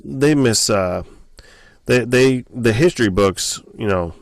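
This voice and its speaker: A man's voice: medium pitch, takes it slowly, gravelly, dry recording, clean studio sound. An American man co-hosting a podcast, chatting casually and giving his opinions in a very informal way. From United States. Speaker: podcast co-host.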